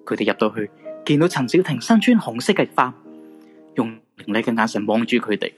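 A man's voice: medium pitch, animated, brisk, faint music behind. A man with a Hong Kong Cantonese accent narrating a scripted supernatural radio drama.

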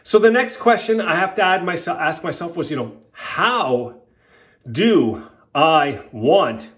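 A man's voice: a little high, lively intonation, slow, a bit bright. A man hosting his own podcast as a health coach, talking candidly and emphatically with fillers and the odd swear, a little sniffly from a cold. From Canada. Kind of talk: casual solo podcast monologue.

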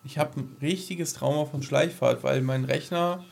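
Rambling man, slightly high voice. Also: normal speed, creaky. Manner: colloquial, rambling. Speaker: podcast co-host. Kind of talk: casual chat about science fiction.

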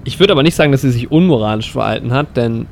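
A man's voice: medium pitch, lively intonation, racing, gravelly. A man with a mid-range voice co-hosts a light improvised audio story. He speaks in casual banter and thinks aloud with some hesitation. A faint layer of noise sits behind his voice. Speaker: podcast co-host.

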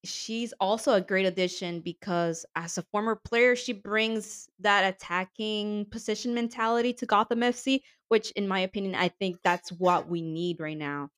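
A woman co-hosting a podcast in casual chat, enthusiastic and laughing often, her voice a little raspy from yelling. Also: mid-range voice, normal speed, few pauses. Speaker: podcast co-host. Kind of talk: casual chat about women's soccer.

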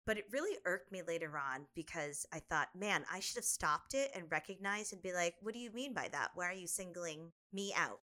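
A woman's voice: fairly low pitch, expressive, moderate pace, few pauses, pushed and bright. A young woman co-hosting a podcast, telling a personal story in an earnest, reflective way. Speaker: podcast co-host. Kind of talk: casual podcast chat.

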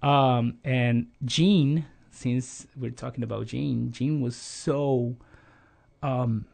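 A man's voice: medium pitch, fairly even intonation, very slow, vocal fry, a little mellow. A man in his thirties from Brazil, speaking English with a Brazilian accent as an interview guest, earnest and hesitant with many fillers and restarts. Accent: Brazilian Portuguese. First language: Portuguese. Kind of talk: casual interview conversation.

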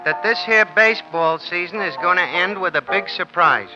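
A dull old radio recording of a high-pitched bartender, chatty and gossipy. He speaks in florid but ungrammatical folksy style, as 1940s comic relief. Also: animated, normal speed, over music.

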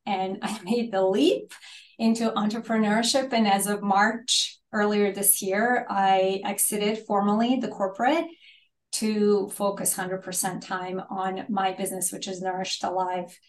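A woman's voice: mid-range voice, fairly even intonation, measured pace, reverberant, poor audio. A middle-aged woman from Bosnia, a health and nutrition coach, telling her career story in an interview.

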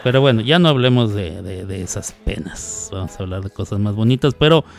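A man's voice: on the low side, animated, fairly quick, creaky, faint music behind. Chatty Mexican man hosting radio. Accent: northern Mexican. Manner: chatty, laughs often, playful asides. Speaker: radio host. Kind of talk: casual live radio chat.

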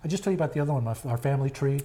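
An older man with a hoarse voice, talking slowly with many fillers in a casual family interview. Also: medium pitch, animated, dark tone. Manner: slow, halting, many fillers. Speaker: grandfather being interviewed.

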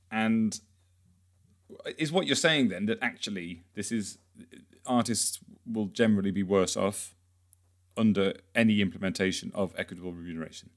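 A man hosting a podcast, putting questions in a conversational, tentative way and rephrasing as he goes. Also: on the low side. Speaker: podcast host, interviewer. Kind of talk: conversational interviewing.

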